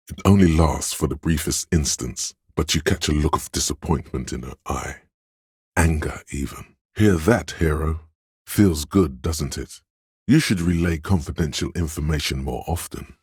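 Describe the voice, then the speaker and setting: Picture a hero's inner voice in a dramatic video game, sly and all-knowing. The pitch sits extremely low and the texture is thickly husky, recorded dry and close. The delivery is deliberate and insinuating, coaxing the listener along. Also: male voice, no room sound, full character voice. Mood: pointed, prodding. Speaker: video game narrator voicing inner thoughts. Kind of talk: scripted dramatic game narration.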